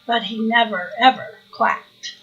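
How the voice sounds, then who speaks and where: A woman reads a children's storybook aloud with sing-song expression. Her voice is high and bright, and she takes her time, inviting the listener in. The recording is a little lo-fi. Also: fairly even intonation, unhurried. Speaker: storybook reader.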